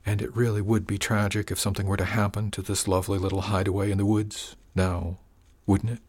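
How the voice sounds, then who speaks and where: A man's voice: fairly low pitch, flat delivery, brisk, lightly acted. A man voicing a falsely friendly male gang boss who drawls with oily, mock-wounded charm. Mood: smarmy menacing.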